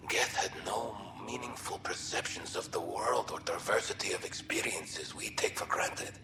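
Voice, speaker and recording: Deep, echoey alien historian played by a man. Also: lightly acted. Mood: scholarly, superior. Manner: measured, condescending, scholarly. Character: proud, learned male alien historian. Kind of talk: video game voice acting. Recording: echoey room, faint noise.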